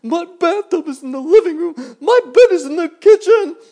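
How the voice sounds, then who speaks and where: A man voicing a fussy, panicky male worm who lives in an apple, crying out loudly, in a storybook reading. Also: high voice, animated, normal speed, pitched above the performer's own voice. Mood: panicked. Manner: loud, crying out, flustered.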